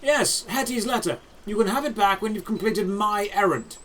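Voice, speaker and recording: A man playing a calm, all-knowing old knight spymaster in a fantasy audio drama, measured and emotionless. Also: sits high, slight background noise, poor audio. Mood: calm, commanding. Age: older. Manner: measured, emotionless, matter-of-fact.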